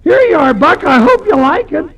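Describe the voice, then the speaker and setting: Squeaky, gravelly rustic radio character. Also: male voice, big pitch swings, moderate pace, fairly bright tone, full character voice. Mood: folksy, cheerful. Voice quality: high-pitched, cracked, gravelly. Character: folksy rustic male visitor, cheerful and high-voiced. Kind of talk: 1930s radio comedy acting. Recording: muffled old radio broadcast.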